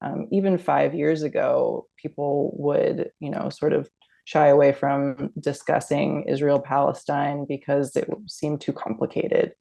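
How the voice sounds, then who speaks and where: A woman activist guest speaking in an interview, thoughtful, often pausing with "um" and "you know". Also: deep voice, little pitch movement, creaky, dark tone. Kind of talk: casual interview talk.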